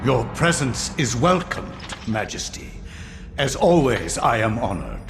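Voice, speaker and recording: An actor playing a calculating servant of dark gods in a video game, formal, archaic and obsequious. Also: male voice, mid-range voice, sing-song, a little slow. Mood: deferential. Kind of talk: scripted video game villain acting. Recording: echoing chamber with noisy ambience.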